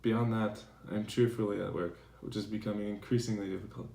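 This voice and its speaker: A man playing a defensive, aggrieved professor in a dramatized letter reading, speaking in a formal, measured way. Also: fairly low pitch, level tone, gravelly, mellow. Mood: weary, aggrieved. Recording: roomy, echoing recording.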